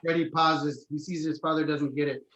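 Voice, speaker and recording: A man's voice: fairly high pitch, steady tone, moderate pace, a bit bright, highs cut off, a little hiss or hum. A man reading stage directions as scripted narration for a screenplay table read. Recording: roomy, echoey home recording.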